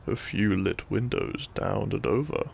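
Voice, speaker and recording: A man narrating a gentle sleep-aid story in a soothing, hushed way, lingering between short phrases. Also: fairly low pitch, measured pace, creaky, slight background noise. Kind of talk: soft, gentle bedtime story narration, ASMR-like.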